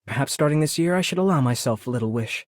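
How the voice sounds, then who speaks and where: An actor playing a polite, composed gentleman merchant in a video game, courteous and measured. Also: medium pitch, lively intonation, no echo, studio quality, close to an everyday voice. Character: polite, composed gem-selling gentleman, quietly modest. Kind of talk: video game character voice line.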